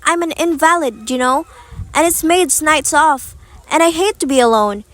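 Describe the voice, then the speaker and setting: Woman acting a high-pitched fretful, demanding wife. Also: normal speed, no echo, lightly acted. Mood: fretful, insistent. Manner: insistent, fretful, indignant. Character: anxious, demanding, imperious invalid wife. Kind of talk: amateur radio play acting.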